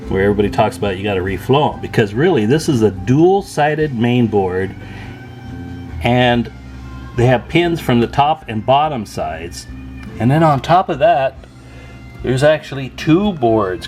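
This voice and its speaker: Male hobbyist host, mid-pitched, chatty and informal, casual show-and-tell delivery with faint music behind. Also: darkish tone. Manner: informal, chatty, folksy slang. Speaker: host of a CB radio repair video. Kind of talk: casual hands-on demonstration.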